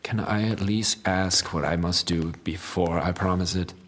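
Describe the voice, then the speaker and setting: Casting: principled, caring friar, fantasy audio drama, low voice, gentle, deliberate, formal. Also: male voice, steady tone, slight background noise. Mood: wary, troubled. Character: kind, concerned, principled friar and loyal friend.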